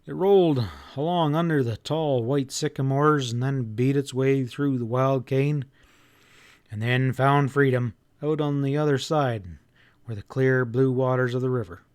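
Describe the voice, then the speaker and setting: A man narrates a classic novel in the first person. His mid-pitched voice is folksy and expressive, leaning into the drama of the telling. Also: a little slow, smooth. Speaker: audiobook narrator.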